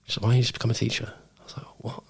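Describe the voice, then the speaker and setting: A man's voice: mid-range voice, monotone, rapid-fire, gravelly, husky, in a whisper. A man telling personal stories casually as a podcast guest, in an informal, blokey way. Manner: informal, blokey, says mate, man and bro. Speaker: podcast guest, former Royal Marine. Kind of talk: casual anecdotal storytelling.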